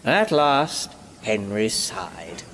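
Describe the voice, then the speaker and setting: A man's voice: on the low side, very animated, extremely slow, slight background noise. A man narrating a scripted children's-style story as a storyteller. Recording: loud music behind the voice.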